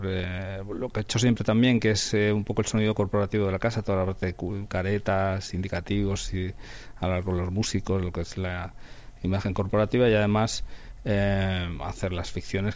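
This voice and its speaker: Middle-aged man, Spanish accent from Spain, lowish pitch, informal guest talk, slightly dull recording. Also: darkish tone. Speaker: interview guest, radio producer and sound technician. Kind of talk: informal conversational explanation.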